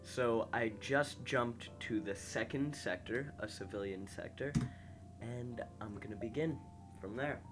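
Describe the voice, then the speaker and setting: A young man with a slightly nasal, congested voice, calmly commentating a space game and reading its text aloud while muttering to himself. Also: medium pitch, a little slow, over music. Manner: relaxed, mumbles quietly to himself while playing. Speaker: gameplay host.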